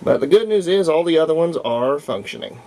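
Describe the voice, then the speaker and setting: A man narrating casual hands-on repair work, thinking aloud in short, halting phrases. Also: a little high, lively intonation, warm tone, poor audio. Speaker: hobbyist demonstrating antique radio repair. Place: room at home, indoors.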